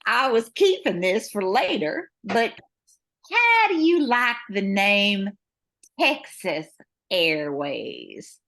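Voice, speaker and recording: Woman acting a plucky airline owner, forceful, lively intonation, radio play. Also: medium pitch, takes it slowly, full character voice. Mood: grateful. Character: Sadie, plucky woman who runs a small airline. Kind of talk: amateur radio comedy play.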